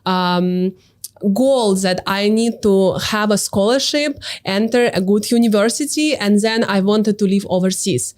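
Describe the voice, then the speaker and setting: A young woman from Russia recounts her path as an interview guest. She speaks at an average pitch and keeps stopping to restart her sentences. Her tone is casual and earnest, with many small fillers. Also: a little slow, a little mellow. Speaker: guest, course mentor. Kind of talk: casual interview, personal story.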